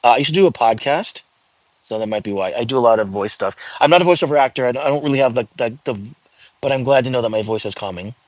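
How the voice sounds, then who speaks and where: A man hosting a casual live stream, chatting and reading viewer comments aloud with lots of fillers and restarts, in a calming voice. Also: medium pitch, quick pace, no echo. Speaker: livestream host.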